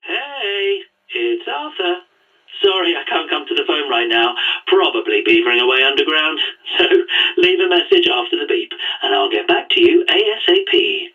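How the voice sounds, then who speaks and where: A man's voice: fairly high pitch, big pitch swings, normal speed, soft background music. A man playing a breezy, friendly character leaving an informal voicemail greeting in an audio drama. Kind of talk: casual recorded greeting. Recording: thin, tinny phone voicemail.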